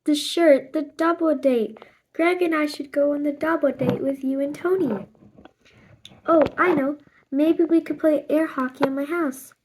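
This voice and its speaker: A woman voicing an eager, inventive young girl in a storybook, with excited exclamations. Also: sits high, a little slow, a little hiss or hum, pitched above the performer's own voice, rough recording.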